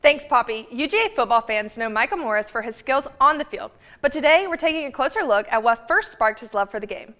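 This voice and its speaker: A woman's voice: fairly high pitch, lively intonation, fairly quick, few pauses, fairly bright tone. A young woman working as a student reporter, introducing a segment and interviewing with a friendly, encouraging manner. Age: young adult.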